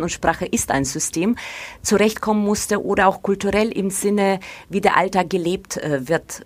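A woman journalist being interviewed, for whom German is not the first language, speaking in a thoughtful, earnest and measured way. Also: mid-range voice. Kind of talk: conversational interview.

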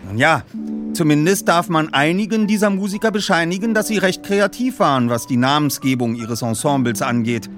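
A man's voice: a little high, expressive, moderate pace, fairly bright tone, faint music behind, voice pushed up. Man as a precise, wry teenage boy detective. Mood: pedantic. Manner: pedantic, elaborate wording, dry. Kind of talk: acted audiobook dialogue.

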